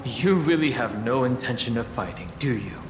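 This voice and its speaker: A man's voice: medium pitch, animated, normal speed, natural voice. A man playing a frank young adventurer in a video game, speaking in a casual, direct way. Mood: wary, direct. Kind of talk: scripted video game character acting. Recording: echoey, long reverb. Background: music.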